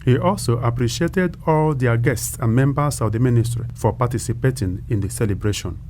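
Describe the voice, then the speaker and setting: A man's voice: medium pitch, a little fast, noisy background. A man with a Nigerian accent narrating a scripted television news report as a reporter.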